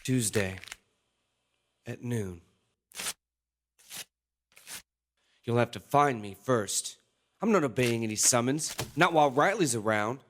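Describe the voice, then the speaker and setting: A man's voice: medium pitch, animated, unhurried, background noise, close to an everyday voice. A man playing a hesitant, subdued eighteen-year-old son in an audio drama. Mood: quietly defiant.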